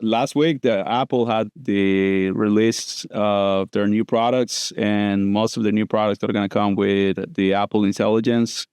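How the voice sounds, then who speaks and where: Smooth-voiced man from Costa Rica. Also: on the low side, steady tone, measured pace, few pauses, darkish tone, no echo, studio quality. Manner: explanatory, loose grammar, frequent "like" and "so". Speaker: guest prosthodontist. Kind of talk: casual podcast interview.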